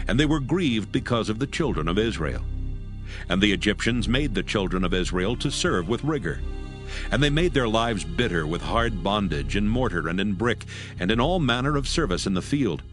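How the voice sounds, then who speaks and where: Low-voiced, solemn male scripture narrator. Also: big pitch swings, normal speed, soft background music.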